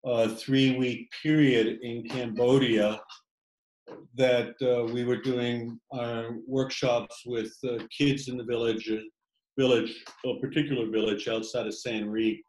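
A man who writes, speaking about his book on a video call in a halting, thoughtful way with many fillers. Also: medium pitch, level tone, darkish tone, echoey room, a little hiss or hum, rough recording. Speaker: poet reading his work. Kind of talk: reflective talk in an online reading. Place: home room on a video call.

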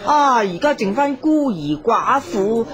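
Gossipy auntie amid a crowd. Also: female voice, a little high, sing-song, takes it slowly. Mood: gossiping. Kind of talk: Cantonese radio drama acting. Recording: busy crowd behind.

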